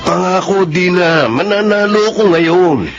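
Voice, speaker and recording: A high-pitched, middle-aged husband hooked on gambling, stubborn and self-justifying. He speaks in dramatic radio drama style. The sound is dull, with faint music behind. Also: takes it slowly. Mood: desperate, pleading. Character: stubborn, defensive gambling husband and father, tired of work.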